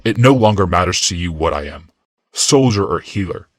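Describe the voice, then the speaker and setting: Guy doing an arrogant menacing warrior for an audiobook, low voice, imperious and deliberate. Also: expressive, no room sound, clean studio sound. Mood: cold threat. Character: commanding, menacing, arrogant male warrior-healer.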